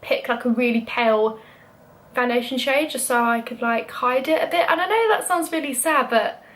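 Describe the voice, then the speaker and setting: A woman's voice: on the high side, normal speed, fairly bright tone. A young British woman vlogging, talking casually and openly with many "like" fillers. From Britain. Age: young adult. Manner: chatty, lots of "like" fillers. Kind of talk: casual chat.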